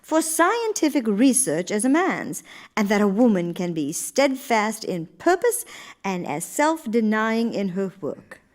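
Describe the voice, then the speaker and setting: A woman's voice: medium pitch, sing-song. Dignified, principled older Lady. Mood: admiring, earnest. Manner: measured, formal, emphatic, earnest. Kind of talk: live radio theater, interview-format monologue.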